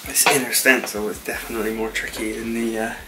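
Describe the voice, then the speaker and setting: A man in his thirties calmly explaining a hands-on craft project in a casual vlog voice-over, pausing with frequent fillers. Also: fairly low pitch, over loud music. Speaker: DIY vlogger. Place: home garage workshop. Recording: distant mic with audible background noise.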